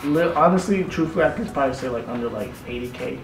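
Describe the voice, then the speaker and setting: A man's voice: medium pitch, expressive, fast talker. A young man as the guest of a comedy barbershop show, laid-back and wry while getting a haircut. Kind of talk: casual comedy banter. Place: small barbershop, indoors. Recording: echoey room from far off with music.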